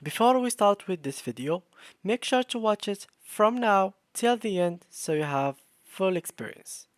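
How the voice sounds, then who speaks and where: A man's voice: sits high, animated, a little slow, no echo, studio quality. A man with a Moroccan accent giving a casual screen-share tutorial, explaining informally with some hesitation and repetition. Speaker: tutorial host.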